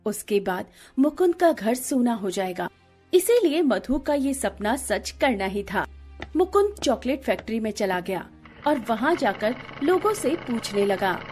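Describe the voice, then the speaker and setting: High-voiced woman narrating a story. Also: big pitch swings, unhurried, darkish tone, soft background music.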